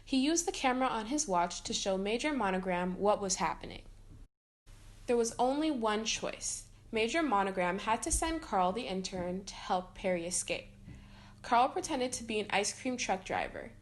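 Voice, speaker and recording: A woman's voice: medium pitch, lively intonation, moderate pace. A woman reading a children's picture book aloud as a storytime reader.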